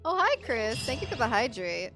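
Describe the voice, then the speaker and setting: A woman's voice: on the high side, sing-song, normal speed. A woman streaming a game and reacting casually to the story as she plays. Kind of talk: casual live playthrough reactions. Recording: faint game music behind. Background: game music and dialogue.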